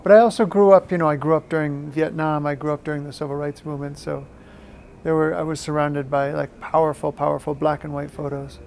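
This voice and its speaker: Interviewee: American man in middle age, thoughtful and halting, fairly level melody, faint ambient noise behind. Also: fairly high pitch, level tone, gravelly, darkish tone. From Maine, United States. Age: middle-aged. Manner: halting, thoughtful, repeats words for emphasis. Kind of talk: reflective interview talk.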